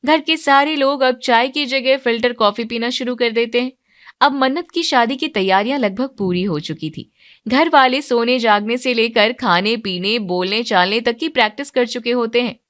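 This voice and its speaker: A woman's voice: fairly high pitch, normal speed, fairly bright tone. A woman narrating a scripted moral story as a storyteller.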